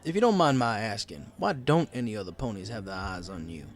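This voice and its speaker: A wry male pony bartender from a storybook, played by a man. He talks in a casual, consoling, teasing manner, with very lively swings of intonation. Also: lowish voice, brisk, natural voice. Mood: curious, sympathetic. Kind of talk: audiobook character dialogue.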